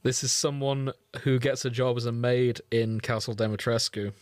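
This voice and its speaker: A man's voice: mid-range voice, steady tone, normal speed, no room sound. Wry man bantering, dry close sound. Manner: wry, jokey, swearing. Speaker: podcast co-host. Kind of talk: casual comedic chat.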